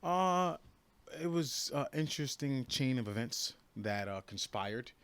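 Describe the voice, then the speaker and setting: A man's voice: mid-range voice, expressive, unhurried, fairly bright tone, clear, resonant tone. A man in his thirties from New York, a professional fighter giving a casual interview, talking brashly and confidently with many repeated restarts. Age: 30s.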